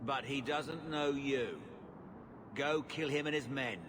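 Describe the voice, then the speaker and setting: An actor playing a blunt, rough, plain-spoken male mercenary captain in a video game. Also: mid-range voice, slow pace, background noise, lightly acted. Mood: cold, businesslike. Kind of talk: video game cutscene acting.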